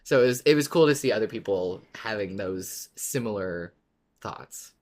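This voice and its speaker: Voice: mid-range voice, animated, normal speed, a little mellow. A podcast co-host who reads listener submissions and talks them over casually, often repeating words while thinking.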